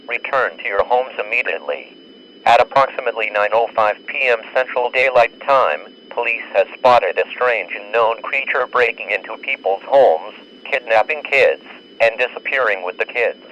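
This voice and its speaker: A man voicing a formal, measured emergency alert announcer in a grim, official broadcast. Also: medium pitch, a bit bright, close to an everyday voice. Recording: muffled, noisy emergency radio broadcast.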